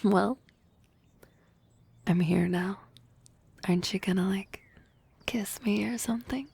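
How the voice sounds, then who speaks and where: A woman voicing a young harpy classmate in love in an ASMR roleplay, soft and often whispering, hesitant and teasing. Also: medium pitch, slow, vocal fry, husky, heavily acted. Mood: tender, teasing.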